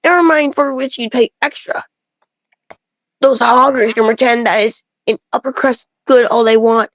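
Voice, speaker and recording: A woman's voice: on the high side, steady tone, normal speed, fairly bright tone, no room sound, muffled recording, close to an everyday voice. A woman reading aloud as an opinionated, folksy male bread enthusiast who rambles and grumbles through game dialogue. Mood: grumbling, rambling.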